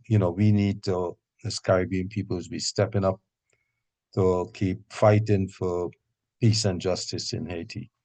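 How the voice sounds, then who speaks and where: Low, even-toned man chairing. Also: gravelly. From Guyana. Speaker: meeting chair. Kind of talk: extemporaneous political meeting remarks.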